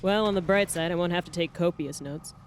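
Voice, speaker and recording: A woman's voice: lowish voice, brisk, slight background noise, natural voice. A woman playing a fearless, pompous, self-promoting archaeologist with a theatrical manner in a fantasy roleplay. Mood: breezy. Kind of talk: tabletop roleplay audio drama.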